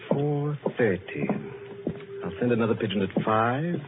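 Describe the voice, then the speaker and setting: An actor playing a furtive, careful man in an old-time radio thriller, measured and softly coaxing, on a noisy old recording. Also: medium pitch, expressive, narrow, tinny audio, music in the background, close to an everyday voice. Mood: gentle, businesslike. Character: furtive, careful man infiltrating a masked crime society.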